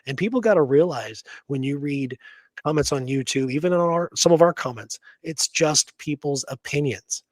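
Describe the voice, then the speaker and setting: A man's voice: fairly high pitch, normal speed, no room sound. A man co-hosting a casual history podcast, speaking in an emphatic, informal, argumentative way. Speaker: podcast co-host.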